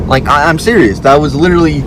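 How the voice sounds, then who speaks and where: A man's voice: on the high side, fast. A man hosting a casual group movie review, talking to camera emphatically and repeating himself for stress. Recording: loud traffic and vehicle noise.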